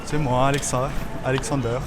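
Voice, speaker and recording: A young man chats up strangers outdoors in a city, cocky and teasing as he rambles on, his voice sitting at an ordinary middle pitch. Heavy traffic roars loudly around him the whole time. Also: flat delivery, normal speed. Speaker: street prank host. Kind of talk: hidden-camera street pickup banter. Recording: loud street traffic noise.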